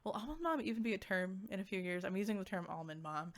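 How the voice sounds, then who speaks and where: A woman giving a casual, conversational review to viewers, animated and peppered with "like" asides. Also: mid-range voice, quick pace. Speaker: visual novel reviewer.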